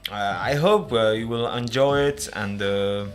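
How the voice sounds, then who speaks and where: A man with an Algerian accent hosting a casual interview, halting as he searches for his words. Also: medium pitch. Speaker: podcast host, interviewer.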